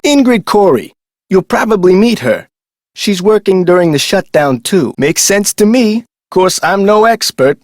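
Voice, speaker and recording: Animated, folksy security guard. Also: male voice, high voice, no room sound, studio quality. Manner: folksy, colloquial, animated delivery. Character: chatty, eager amusement park security guard, adult man. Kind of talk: scripted video game character dialogue.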